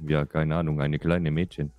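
A man chatting in character in an online roleplay game, speaking in a halting, muddled way over voice chat. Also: rumbling low, little pitch movement, darkish tone, no room sound.

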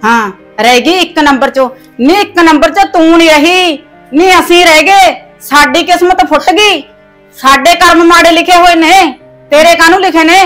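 A woman's voice: extremely high pitch, fairly bright tone. A woman playing a harsh, taunting mother-in-law who scolds with sarcasm and folk sayings. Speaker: actor in a family skit. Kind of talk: domestic comedy-drama skit.

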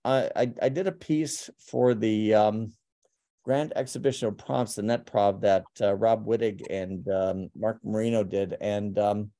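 A man presenting his art over slides on a video call, talking haltingly with many ums and restarts. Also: fairly low pitch, fairly even intonation, mellow. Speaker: artist presenting his work. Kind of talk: conference talk over slides. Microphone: webcam on a video call.

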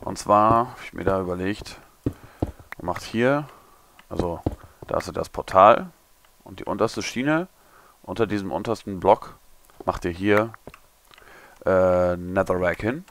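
A young man, a little hungover and hoarse, mumbling and thinking aloud as he builds in a game tutorial. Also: low-pitched, unhurried, long pauses, a bit bright, a little hiss or hum. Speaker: gaming tutorial creator. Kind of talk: casual commentary while building.

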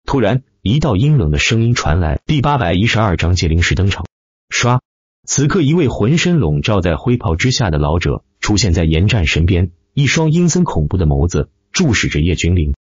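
A man's voice: deep voice, big pitch swings, brisk, a little mellow, husky, dry recording, dull audio. A man reading a fantasy novel as dramatic scripted narration. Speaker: audiobook narrator.

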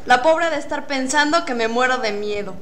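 A woman's voice: sits high, brisk, fairly bright tone. A woman playing a sulky fifteen-year-old boy full of bravado in a student radio drama. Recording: faint noise, amateur radio drama recording.

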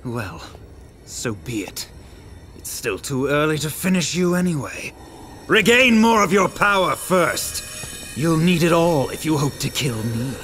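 Slow, swooping, taunting villain. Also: male voice, on the high side, big pitch swings. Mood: disdainful. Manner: slow, mocking, theatrical. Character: taunting, arrogant villainous man, gloating over his rival. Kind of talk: theatrical video game voice acting. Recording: loud music under the voice.

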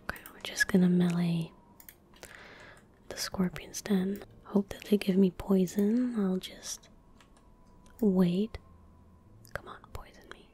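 A young woman gives hushed ASMR commentary on a game in a soft, breathy whisper. Her delivery is very slow and gentle, with a mellow tone, right up at the mic over keyboard and mouse clicks. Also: mid-range voice, fairly even intonation, a little hiss or hum. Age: young adult. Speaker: ASMR gaming streamer. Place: indoor room at a desk. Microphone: close desk microphone. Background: keyboard typing and mouse clicks.

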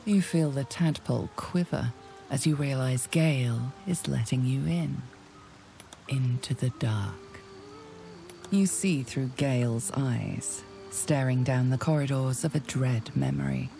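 An actress playing a grave, ominous storyteller, giving deliberate dramatic narration for a fantasy video game. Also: female voice, extremely low pitch, vocal fry, dark tone, soft background music, full character voice. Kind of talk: dramatic second-person scripted narration.

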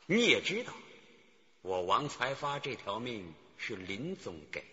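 A man's voice: mid-range voice, very animated, measured pace, pushed and bright. An actor playing a sly, wheedling company manager and loyal henchman in a theatrical radio drama. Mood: veiled threat. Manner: theatrical, cajoling and menacing by turns. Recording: dull recording with long reverb.

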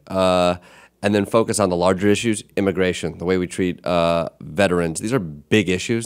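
Low-voiced man hosting a podcast. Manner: animated, laughing storyteller. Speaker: podcast host. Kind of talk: casual storytelling chat. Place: small podcast studio. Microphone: large studio mic on a stand.

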